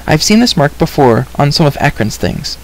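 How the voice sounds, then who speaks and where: A man's voice: on the high side, very animated, moderate pace, voice pushed up, rough recording. A man voicing a headstrong, impatient girl in an emphatic, chattering way for a storybook reading. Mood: frustrated. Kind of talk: audiobook character reading.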